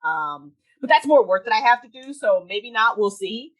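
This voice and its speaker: A woman talking casually as a podcast guest, with an animated, emphatic, informal way of speaking. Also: on the high side, moderate pace. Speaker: podcast guest, audio drama creator. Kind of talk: casual interview chat.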